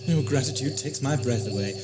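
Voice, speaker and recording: Guy acting clever sardonic space doctor hero, radio play style, middle pitch, dry and wordy, music behind. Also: brisk, lightly acted. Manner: sardonic, articulate, dry wit. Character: wry, defiant time-travelling Doctor, a clever male hero. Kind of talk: audio sci-fi drama acting.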